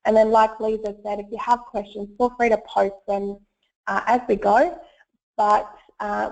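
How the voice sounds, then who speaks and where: A woman with a croaky voice from a cold, giving an online presentation with frequent fillers. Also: medium pitch, darkish tone. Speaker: webinar presenter, solutions consultant. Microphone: web conference audio. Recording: muffled lo-fi web call audio.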